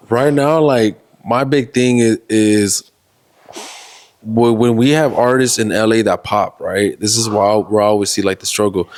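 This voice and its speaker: A man with a somewhat low voice hosts an easygoing interview. He rambles a little and leans on fillers and swearing. He comes from the Los Angeles area. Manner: relaxed, drawn-out phrasing, heavy filler, swearing. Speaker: interview host. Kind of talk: casual interview chat.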